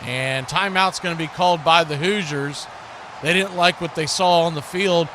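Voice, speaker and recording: A man's voice: on the high side, normal speed, sharp tone. A man doing live play-by-play radio commentary of an American football game, describing the action in short phrases. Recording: clearly noisy live stream recording.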